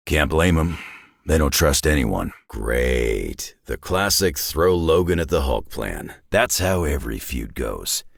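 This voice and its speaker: A man voicing a gruff, cynical, battle-worn mutant brawler in a video game, with a gravelly, husky growl and a terse, dry tough-guy drawl. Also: very deep voice, big pitch swings, takes it slowly, no echo, exaggerated voice. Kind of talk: video game character acting.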